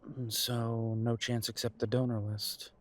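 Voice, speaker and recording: A man's voice: mid-range voice, level tone, a little slow, lightly acted. A man playing a wounded young werewolf son in an audio drama, confrontational and sardonic with his parents. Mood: measured, concerned. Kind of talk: audio roleplay drama acting.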